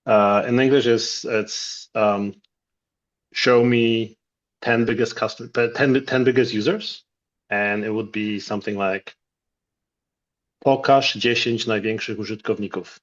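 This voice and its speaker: Low-voiced Polish man on a dull line. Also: fairly even intonation. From Poland. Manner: halting, self-correcting. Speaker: software engineer. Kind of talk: casual chat on video call.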